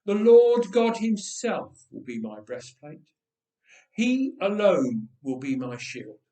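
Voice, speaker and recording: A man voicing a calm, devout shepherd boy in a storybook, speaking with steady, solemn confidence. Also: sits high, slow pace, full character voice. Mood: calm faith. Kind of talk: dramatic storybook reading. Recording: echoey room, distant from mic.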